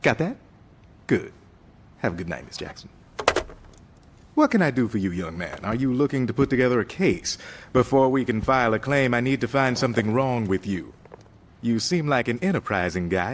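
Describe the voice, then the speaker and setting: An actor playing a crooked, patronising injury lawyer in a video game, formal and smooth-talking. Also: male voice, medium pitch, animated, normal speed, slight background noise, natural voice. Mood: sly.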